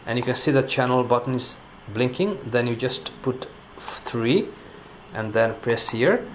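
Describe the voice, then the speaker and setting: An everyday man's voice of ordinary pitch talks through a gadget demo in a relaxed, rambling way, full of "you know" and "uh". He is off-screen in an indoor room, and a faint background hiss sits under his voice. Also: unhurried. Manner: relaxed, filler-heavy, says "you know" often. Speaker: product reviewer. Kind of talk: casual hands-on product demonstration.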